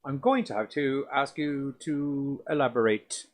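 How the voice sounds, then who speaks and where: Male podcast co-host, deep voice, loose rambling delivery with casual swearing. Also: unhurried. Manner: sweary, rambling, restarts often. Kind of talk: casual movie review chat.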